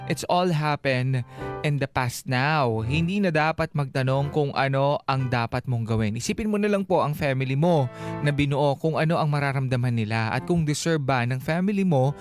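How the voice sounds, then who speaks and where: A man's voice: medium pitch, normal speed. A Filipino man hosting a casual radio advice show, conversational and admonishing as he reads comments and counsels. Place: radio studio. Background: background music.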